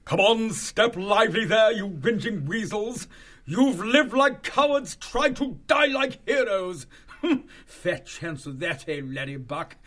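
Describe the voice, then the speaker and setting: A man's voice: sits high, lively intonation, takes it slowly, fairly bright tone, voice pushed up, exaggerated voice. A man voicing a jaunty, bossy military animal in a storybook, blustering with old-fashioned officer banter. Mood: scornful bluster.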